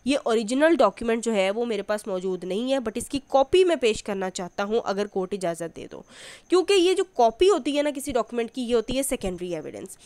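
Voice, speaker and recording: A woman's voice: fairly high pitch, very animated, a little fast, a little mellow, dry recording. A woman with a Pakistani accent lectures like a law teacher, reading out passages and then explaining them, rephrasing and repeating her points.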